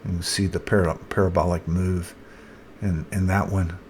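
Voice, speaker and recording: A man presenting a casual, unscripted chart commentary as a market analyst, thinking aloud in a halting way. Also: low voice, little pitch movement, darkish tone. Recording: noticeable background noise.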